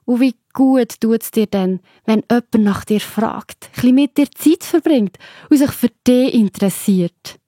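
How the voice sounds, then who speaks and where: A woman's voice: on the high side, a little slow, dark tone, no room sound, clean studio sound. A woman with a Swiss German accent narrating a scripted story for children, explaining simply and addressing the listener directly.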